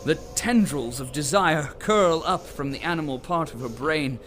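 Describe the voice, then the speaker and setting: A man narrating an audio drama as a deliberate storyteller who relishes lurid detail. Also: on the high side, a bit bright, a little hiss or hum, lightly acted. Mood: ominous relish.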